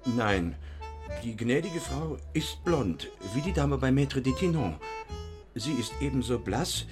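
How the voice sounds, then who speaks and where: An actor playing a firm, matter-of-fact gentleman witness in a radio play. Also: medium pitch, lively intonation, slow, music in the background.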